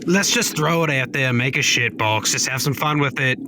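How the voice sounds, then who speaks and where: A man's voice: medium pitch, moderate pace. A man playing a tabletop game, chatting casually and improvising in character. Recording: clear background ambient noise.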